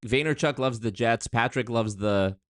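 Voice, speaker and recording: A man's voice: mid-range voice, moderate pace, no room sound, clean studio sound. A man giving casual live-stream commentary on a video, wry and chatty, with restarts and asides.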